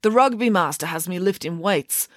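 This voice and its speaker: A woman voicing a big, good-natured, slow-witted posh schoolboy in a children's audiobook, earnest and chummy. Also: fairly low pitch, expressive, no room sound, studio quality, voice pushed up, close to an everyday voice. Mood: earnest, hopeful.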